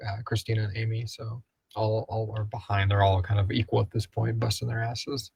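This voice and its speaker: A man with a fairly low voice hosts a casual live Q&A. He rambles along informally with a jokey, slightly sweary streak. The sound is dry, with very little room to it. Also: level tone, a little fast, vocal fry, darkish tone, slightly rough, dry recording. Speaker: co-host and producer of an audio drama podcast. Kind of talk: casual live Q&A chat with listener questions read aloud.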